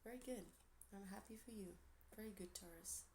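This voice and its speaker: A woman with a Portuguese accent, gentle and encouraging, giving a tarot reading with soft asides and self-corrections. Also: medium pitch, brisk, slight background noise. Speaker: tarot reader.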